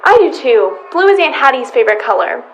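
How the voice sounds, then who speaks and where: A woman's voice: high-pitched, very animated, brisk, lo-fi sound, faint music behind. A woman playing a genteel, fussy, motherly shopper in radio comedy style. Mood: chatty, pleasant. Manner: motherly, chatty. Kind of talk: old-time radio comedy acting.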